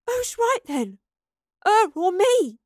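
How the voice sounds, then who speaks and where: A woman's voice: high-pitched, moderate pace, no room sound, studio quality, voice pushed up, lightly acted. A woman voicing an impassioned young girl in a story, urgent and desperate. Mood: disbelieving. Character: impassioned girl, hissing, pleading and screaming.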